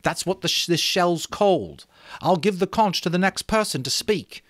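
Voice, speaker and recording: A man's voice: high voice, lively intonation, moderate pace, a bit bright, no echo, clean studio sound, voice pushed up. A man reading a novel aloud, playing an earnest boy who leads a meeting with emphatic, declarative speech. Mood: earnest, assertive. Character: earnest fair-haired boy chairing a meeting as leader. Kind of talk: amateur dramatic reading of a novel.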